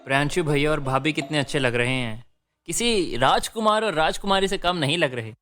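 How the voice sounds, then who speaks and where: Cartoon young man male actor: playful, insistent, bright and fairly high-pitched. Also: a little fast, faint music behind, natural voice. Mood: curious. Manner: teasing, insistent. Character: playful, bossy young brother-in-law at a wedding.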